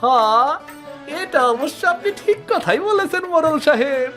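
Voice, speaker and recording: A woman voicing a fawning, scheming sidekick of a village headman in a cartoon, flattering and conniving. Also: high voice, moderate pace, faint music behind. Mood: sly, flattering. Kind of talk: Bengali cartoon voice acting.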